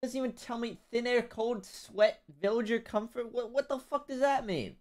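A young man live-streaming a video game on a headset mic, swearing often and reacting loudly. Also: moderate pace. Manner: swears a lot, loud and jumpy pitch. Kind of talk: casual gaming chat.

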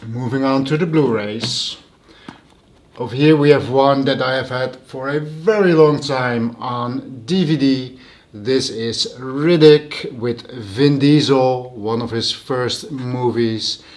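A man's voice: mid-range voice, slow pace. A man hosting a casual show-and-tell vlog at home, plain and matter-of-fact about his purchases. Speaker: host.

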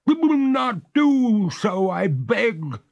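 A man voicing a genteel female water ghost who pleads and protests in a high, drawn-out voice. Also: sing-song, creaky, a little mellow, dull audio, heavily acted. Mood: pleading.

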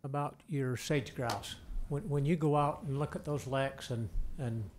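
A man's voice: mid-range voice, a little slow, a little mellow, slight background noise. Plain-spoken man on a board. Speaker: board member. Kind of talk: asking questions at a public meeting.

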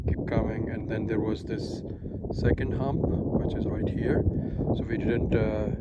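Slow-talking hiker in heavy wind. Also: male voice, fairly low pitch, little pitch movement. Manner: halting, with many fillers. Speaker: hiker filming his climb. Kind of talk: casual narration while hiking. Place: outdoors on a windy mountain. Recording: dull lo-fi sound with loud wind noise.

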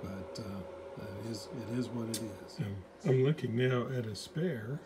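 Slow, low-voiced man at a workbench. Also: background noise. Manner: slow, muttering to himself while working. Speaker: radio restorer. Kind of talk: hands-on repair walkthrough. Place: workbench indoors.

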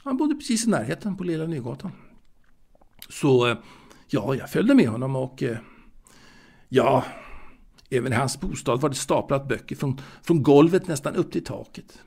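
A male actor playing a wistful, bookish, secretive man who recalls his youth in a halting, confiding audio drama monologue, with a creaky voice. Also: mid-range voice, big pitch swings, darkish tone, exaggerated voice. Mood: nostalgic, musing. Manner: hesitant, halting, repeating words.

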